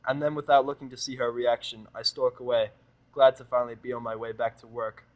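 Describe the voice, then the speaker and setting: Man, fan fiction narrator, middle pitch, dramatic first-person storytelling, audio drama reading. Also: little pitch movement, brisk, rough recording.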